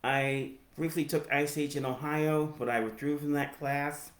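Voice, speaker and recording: An American man in his forties with a speech impediment, giving a personal reflective narration in halting, deliberate phrases. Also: fairly high pitch, fairly even intonation. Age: 40s. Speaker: higher education professional telling own story. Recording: roomy, echoey sound.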